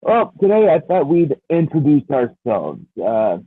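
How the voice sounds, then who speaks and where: A man in his thirties co-hosting a podcast, speaking haltingly with many restarts. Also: fairly high pitch, huge pitch swings, no room sound. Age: 30s. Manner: hesitant, full of restarts and fillers. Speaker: podcast co-host. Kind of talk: casual chat. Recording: tinny phone-like line.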